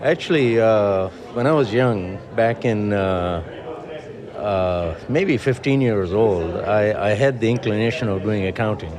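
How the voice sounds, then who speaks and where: A man's voice: fairly low pitch, a little slow. A man in his seventies from Tanzania, an accountant, telling chatty, self-mocking anecdotes. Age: 70s. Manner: chatty, jokey, laughs at himself. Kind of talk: casual anecdotes. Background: crowd chatter.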